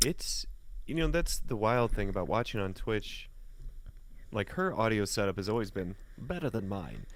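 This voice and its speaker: A man from the United States talking casually as a guest, telling anecdotes in a laid-back, colloquial way with pauses mid-thought. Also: lowish voice, expressive. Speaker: guest musician and voice talent. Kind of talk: casual chat, personal anecdotes.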